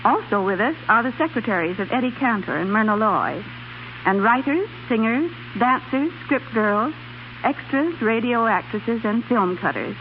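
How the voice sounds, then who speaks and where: A woman's voice: fairly high pitch, animated, moderate pace. Formal woman on noisy old radio. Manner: formal, orderly. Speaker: club director, radio guest. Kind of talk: scripted 1930s radio interview. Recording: noisy, dull 1930s radio broadcast.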